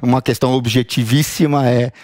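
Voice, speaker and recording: A middle-aged man from São Paulo with a Paulistano accent, a guest answering interview questions thoughtfully, pausing and restarting his sentences. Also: medium pitch, fairly even intonation, darkish tone, no echo, studio quality. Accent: Paulistano Brazilian Portuguese. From São Paulo, Brazil. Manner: thoughtful, halting, restarts often. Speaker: guest, sales and operations director.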